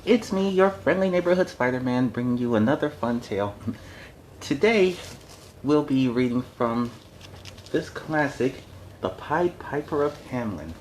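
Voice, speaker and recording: A man hosting a children's story time, reading aloud with chatty, halting, informal asides. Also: mid-range voice, animated, a little mellow, rough recording. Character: friendly costumed superhero storyteller. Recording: noticeable background noise.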